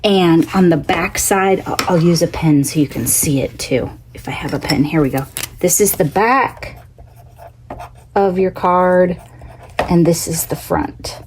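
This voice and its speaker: A woman hosting a casual crafting tutorial, scratchy from a cough, chatty and homey, thinking out loud as she works at her craft table. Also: on the low side, unhurried. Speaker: craft channel host.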